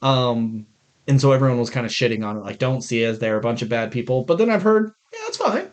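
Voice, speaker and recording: A man talks as a podcast co-host in a mid-pitched voice. His delivery is casual and informal, like chatting about films with a friend, with some swearing thrown in. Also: very animated, fast. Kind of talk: casual chat about movies.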